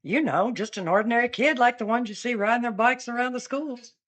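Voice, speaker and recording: An actress playing a chatty young woman eyewitness in a comic radio drama, rambling and deadpan. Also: fairly high pitch, brisk, slightly rough. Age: 20s. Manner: chatty, meandering, with an offbeat deadpan.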